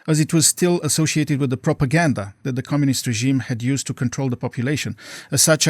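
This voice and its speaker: A middle-aged man from Romania, a professor answering interview questions in measured, formal sentences. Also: medium pitch, darkish tone, no echo, studio quality. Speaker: guest professor.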